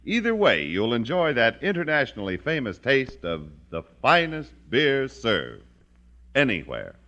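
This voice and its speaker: Animated 1950s radio announcer, male. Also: medium pitch, measured pace, highs cut off. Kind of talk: 1950s scripted radio announcing and sponsor copy. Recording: old 1950s radio broadcast recording. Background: music.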